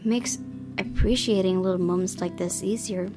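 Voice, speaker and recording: A woman's voice: fairly low pitch, moderate pace. A young woman reading visual novel narration aloud as the host of a gaming video. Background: game music with guitar.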